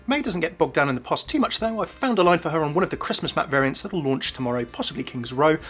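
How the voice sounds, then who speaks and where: A man's voice: on the high side, animated, fast talker, a bit bright, husky, soft background music. A man presenting scripted commentary on video game lore as a voice-over. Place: voice-over with faint music behind.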